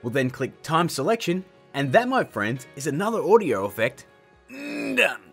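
A man in his thirties presents a casual how-to video with theatrical, joking emphasis. His voice sits a bit high, with lively swings and a husky edge. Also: moderate pace, faint music behind. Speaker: tutorial host. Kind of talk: casual, scripted video tutorial.

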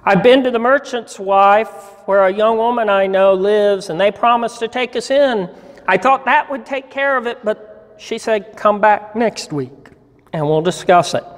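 A man voicing a poor, hungry, humble young soldier's wife in a story reading, plaintive and halting. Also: high voice. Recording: reverberant church hall.

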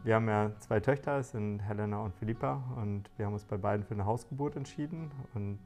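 A man in his forties talking in a relaxed interview about family life, thoughtful and full of small fillers and restarts, recorded on a lapel mic indoors. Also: lowish voice, fast, over music. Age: 40s. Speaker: interviewee, father on a shared farm. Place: bright living room indoors.

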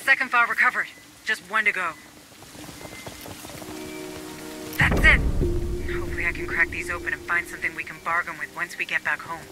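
Guy acting high voiced admin guy in a sci-fi game, urgent, bossing marines around over loud music. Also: fairly even intonation, fast, close to an everyday voice. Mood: calculating. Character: administrator turned activist, pragmatic man guiding marines by radio. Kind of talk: video game cutscene and mission dialogue acting.

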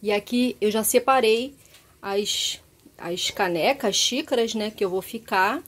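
A woman's voice: medium pitch, measured pace, slightly rough. A woman in her thirties from Brazil chatting casually in voice-over about tidying her kitchen, repeating words and adding tag questions. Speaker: home organization vlogger.

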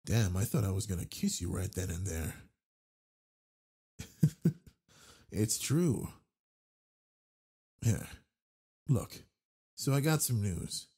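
Husky, flirty werewolf alpha acted by a man. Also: on the low side, big pitch swings, full character voice. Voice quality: husky, gravelly. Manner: slow, soft, teasing. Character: flirty, gentle male werewolf pack alpha, big and brawny. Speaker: roleplay audio performer. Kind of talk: intimate romantic audio roleplay.

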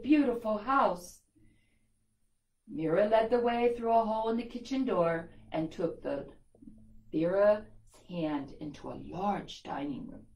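An older woman telling a story to young children, deliberately stressing key words, recorded in a classroom. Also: medium pitch. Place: small classroom, indoors. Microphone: camera a few metres away. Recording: echoey classroom sound.

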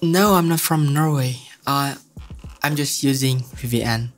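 A man's voice: medium pitch, measured pace. A young man chatting shyly and hesitantly on a video call from a small home studio, speaking into a close desk mic. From Indonesia. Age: young adult. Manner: soft-spoken, bashful, hesitant. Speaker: singer and video chat host. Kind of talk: casual video chat between songs. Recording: guitar music in the background.

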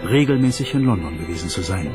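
A man's voice: sits low, lively intonation, fast talker. A man voicing a male police detective in a documentary voice-over dub. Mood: measured, investigative. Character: male police detective investigating an old case. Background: background music.

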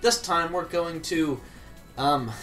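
A man's voice: on the high side, takes it slowly, fairly bright tone, distant mic, soft background music, low-quality recording. A young man giving casual, joking let's play commentary, rambling and swearing freely.

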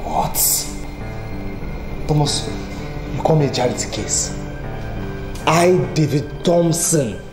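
A man's voice: fairly high pitch, lively intonation, slow, pauses often. An actor playing a wounded, incredulous young man in a family film drama. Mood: indignant. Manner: incredulous, emphatic, repetitive. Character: indignant, wounded young man wronged by his brother. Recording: echoey room, music behind.

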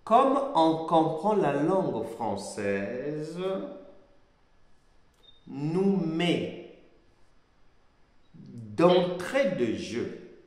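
A middle-aged man giving a sermon-style Bible lesson, speaking slowly and emphatically, repeating his key phrases, recorded at a desk webcam in a small indoor room. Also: a little high. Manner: slow, emphatic, repeats phrases, animated hand gestures. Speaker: pastor teaching a Bible course. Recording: echoey room with long reverb.

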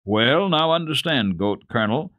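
A man acts out a stern old mountain grandfather from a children's storybook. He scolds in a measured, kindly way, with pitch that swings emphatically. The sound is close and dry. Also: lively intonation, a bit bright, no room sound, highs cut off, close to an everyday voice. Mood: stern warning. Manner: admonishing, measured.

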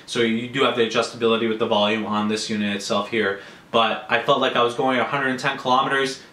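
An echoey home-room recording of a young man with a Canadian accent. His voice is bright and forward at a middling pitch. He talks conversationally and with enthusiasm, like a reviewer addressing viewers. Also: steady tone, quick pace, soft background music. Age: 20s. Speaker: motorcycle gear reviewer. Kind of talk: casual product review to camera. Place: small furnished room at home, indoors. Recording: roomy, echoey indoor sound.

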